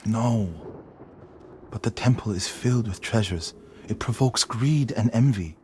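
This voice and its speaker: An actor playing an earnest, stubborn, devoted warrior monk in a dramatic video game, speaking gravely. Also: male voice, fairly low pitch, unhurried, darkish tone, slight background noise, lightly acted.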